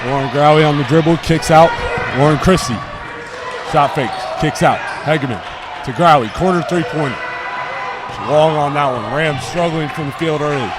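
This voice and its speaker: A man's voice: a little high, normal speed. A young student man doing live basketball play-by-play in clipped phrases that follow each action. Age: teenager or young adult student. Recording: noisy gym, bouncing balls behind. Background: gym ambience and basketball bounces.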